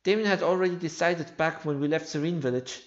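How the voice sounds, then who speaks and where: A man's voice: on the high side, fairly quick, dull audio. A man who is not a native English speaker, reading video game dialogue in character for a story playthrough. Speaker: gamer voicing a story playthrough. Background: video game music.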